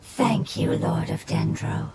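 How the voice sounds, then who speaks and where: Breathy, solemn spirit creature, voiced by a woman. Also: slow, very noisy, natural voice. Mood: grateful, devoted. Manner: formal, reverent. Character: solemn, grateful, devoted otherworldly spirit creature. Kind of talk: video game voice acting. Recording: loud game music over the voice. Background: loud background music.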